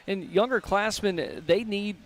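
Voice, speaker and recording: A man's voice: high-pitched, unhurried. A man hosting a live sports radio show from a restaurant, asking long, friendly questions. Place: restaurant broadcast.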